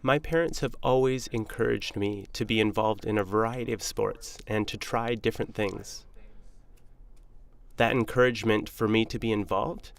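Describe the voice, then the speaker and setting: Clear-voiced, earnest young man. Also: on the low side, normal speed. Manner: earnest, steady and positive. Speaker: interviewee. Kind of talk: personal testimony.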